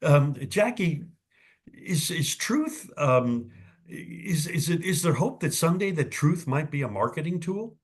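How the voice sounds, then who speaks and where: A man's voice: fairly high pitch, sing-song, measured pace. A man hosting an interview, enthusiastic and posing thoughtful questions to his guests. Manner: enthusiastic, asks musing questions. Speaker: host.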